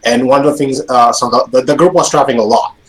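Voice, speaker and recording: A man's voice: medium pitch, quick pace, low-quality recording. A man whose first language is Romanian, chatting about tabletop games as a podcast co-host, hesitant and full of restarts. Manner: hesitant, many restarts, laughs often. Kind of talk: casual gaming chat.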